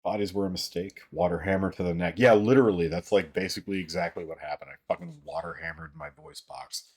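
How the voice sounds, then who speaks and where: A young man with a very low, deep voice chats loosely with his stream audience. His delivery is fairly monotone, full of "like" and "uh" and casual cursing. The voice sounds a bit worn and strained. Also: fast talker. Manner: rambling, frequent swearing and filler words. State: voice strained from an old throat injury. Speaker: livestream host. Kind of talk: casual chat with viewers.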